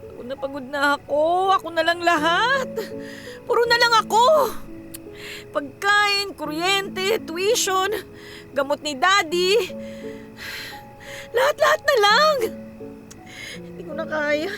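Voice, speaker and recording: An overworked young Filipino daughter in a radio drama, anxious and self-sacrificing. Her voice sits high and moves at a slow, weary pace, often as if talking to herself. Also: lively intonation, sharp tone, soft background music, heavily acted. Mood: breaking down, exhausted. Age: young adult. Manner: talks to herself, code-switches into English.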